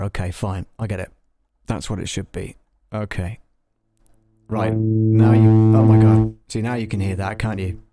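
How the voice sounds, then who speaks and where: A man hosting a casual live software demo, thinking aloud in a halting way and muttering test counts as he troubleshoots. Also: fairly low pitch, gravelly, no room sound, studio quality. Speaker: live stream host and audio tutor.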